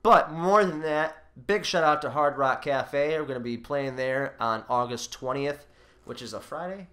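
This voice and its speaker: A man's voice: medium pitch, normal speed, sharp tone. Casual, blunt male podcast co-host.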